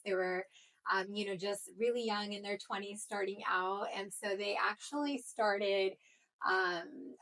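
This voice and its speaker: A woman's voice: medium pitch, fairly bright tone, rough recording. A woman co-host from Colombia talking casually about her past. She tells stories in a chatty, easy way, with lots of "um" and "like" along the way. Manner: chatty, storytelling, frequent fillers. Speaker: co-host of a recruiting show. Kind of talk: casual conversational chat.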